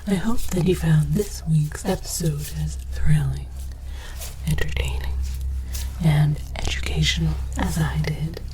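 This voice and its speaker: A woman voicing an earnest, bemused alien archaeologist in whispered ASMR, presenting with formal, deadpan academic deliberation. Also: low voice, unhurried, low-quality recording. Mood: pleased, gracious. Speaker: host of an educational comedy show.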